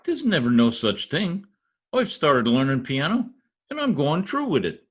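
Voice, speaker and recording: A man's voice: fairly high pitch, sing-song, normal speed, husky. A man playing a folksy, cocky, stubborn husband in an old-time radio comedy.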